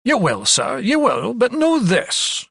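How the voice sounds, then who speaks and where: Male narrator as haughty old asylum doctor; raised pitch, bright blustering delivery, storybook period drama. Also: wildly swooping pitch, takes it slowly, gravelly, husky, no echo, studio quality, heavily acted. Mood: threatening. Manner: condescending, blustering. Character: elderly Scottish male physician, arrogant, dismissive asylum principal.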